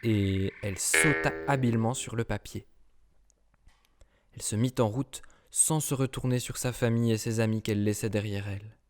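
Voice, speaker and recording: A man narrating a children's storybook cartoon in a lively, rhythmic reading. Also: lowish voice, normal speed, a little hiss or hum. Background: children's music.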